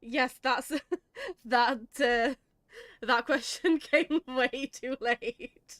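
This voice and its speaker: A woman's voice: high voice, very slow, a bit bright, dry recording. A woman doing casual reaction commentary over a video game, with dry, sarcastic, giggly humour. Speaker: gaming video host, psychologist.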